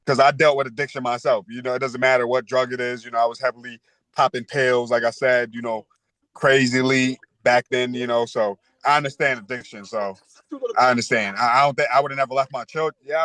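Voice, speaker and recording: A man in his thirties talks frankly as a podcast guest, peppering his speech with street slang and fillers. His voice sits at an everyday pitch. The recording is close and dry, with no room sound. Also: fairly even intonation, a little fast, a bit bright, dry recording. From New York. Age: 30s. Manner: street slang, frequent "you know" and "word". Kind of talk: candid interview talk.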